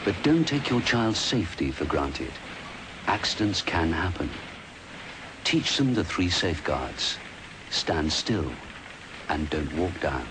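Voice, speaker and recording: Very deep, deliberate British male narrator. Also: sing-song. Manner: deliberate, serious. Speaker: safety film narrator. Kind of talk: scripted safety narration. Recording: noisy old film soundtrack.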